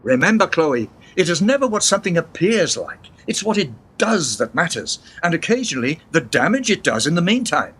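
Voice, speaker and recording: A man's voice: fairly high pitch, very animated, brisk, few pauses, a bit bright, husky, full character voice. An older man playing an eccentric old grandfather and inventor in a radio play, wry, teasing and theatrically portentous. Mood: wistful, lecturing.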